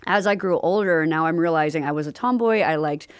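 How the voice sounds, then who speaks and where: A woman's voice: sits low, brisk, clean tone, no echo, clean studio sound. A woman hosting a podcast in a casual, informal conversational style. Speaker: podcast host. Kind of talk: casual chat.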